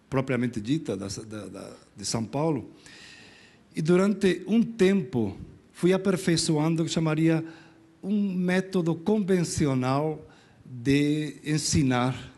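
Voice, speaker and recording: A middle-aged man with a Spanish accent speaks from a conference stage. His voice sits at an ordinary male pitch, and he talks in a relaxed, rambling way, poking fun at himself and restarting his sentences. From Spain. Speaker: lecturer. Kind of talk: conference talk.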